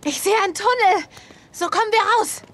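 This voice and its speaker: A woman's voice: very high voice, brisk. A woman playing a plucky, practical young adventurer in a dubbed action game, urgent and projecting. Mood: eager, urgent. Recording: noisy ambience behind.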